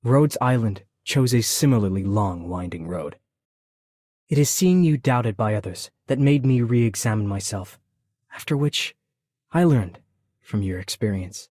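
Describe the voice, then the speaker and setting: A man's voice: on the low side, animated, measured pace, darkish tone, dry recording, studio quality. A man voicing a solemn, formal, introspective nobleman in a video game. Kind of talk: video game character voice lines.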